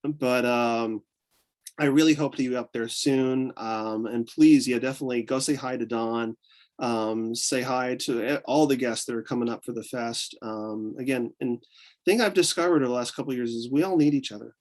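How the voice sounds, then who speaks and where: An excited American man with a mixed Southern and Northern accent, chatting as a guest. His voice sits mid-range and swings up and down as he rambles and restarts his thoughts. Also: mid-range voice. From upstate New York. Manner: enthusiastic, rambling with many restarts and fillers. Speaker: guest, photographer. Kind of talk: casual interview chat.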